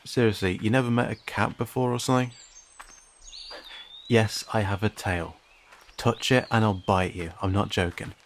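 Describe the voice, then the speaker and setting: A man playing a friendly young cat-person in an audio roleplay, talking informally and hesitantly to a silent listener, with gentle questions and dry teasing. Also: lowish voice, steady tone, moderate pace, pauses often, slight background noise, lightly acted.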